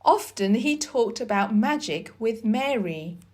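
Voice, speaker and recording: A woman narrating a children's storybook for learners in a deliberate, expressive storytelling manner. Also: a little high. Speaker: audiobook reader.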